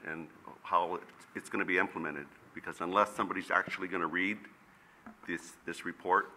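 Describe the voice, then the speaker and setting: Halting low-voiced councilmember. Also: male voice, bright, forceful tone, a little hiss or hum. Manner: halting, repeats words while finding his phrasing. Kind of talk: council discussion. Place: council chamber, seated at a desk. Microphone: desk microphone.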